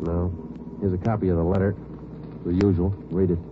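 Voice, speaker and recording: A man's voice: sits low, flat delivery, fairly quick, close to an everyday voice. A male actor playing a terse American police sergeant, clipped and matter-of-fact, in a 1940s radio drama. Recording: noisy, tinny 1940s radio broadcast.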